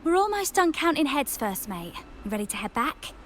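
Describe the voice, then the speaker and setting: A woman's voice: sits high, very animated, moderate pace, a little hiss or hum. A woman playing a friendly, chatty female crewmate in a video game. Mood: concerned.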